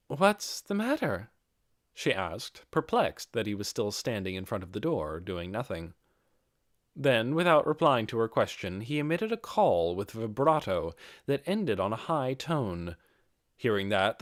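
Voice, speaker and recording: A man narrating a scripted fantasy audiobook with expressive, dramatic storytelling. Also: on the low side, dry recording, clean studio sound.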